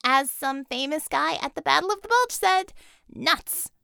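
A woman's voice: sits high, animated, a little slow, vocal fry, pushed and bright, dry recording, studio quality, voice pushed up, full character voice. Woman doing a sassy, bold female superhero leader. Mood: defiant. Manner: sassy, punchy.

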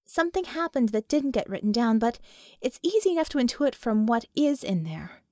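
Audiobook role: savvy woman mage, played by female narrator, frank, matter-of-fact, fairly high voice, dry sound. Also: sing-song, moderate pace, mellow, no room sound. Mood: explanatory.

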